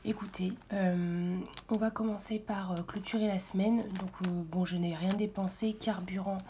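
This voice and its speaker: A hoarse woman speaking softly and half-whispering at home in the early morning, hesitant and full of fillers as she walks through her weekly budget. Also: medium pitch, steady tone, moderate pace, a little hiss or hum. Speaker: budget vlogger. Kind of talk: casual budget walkthrough.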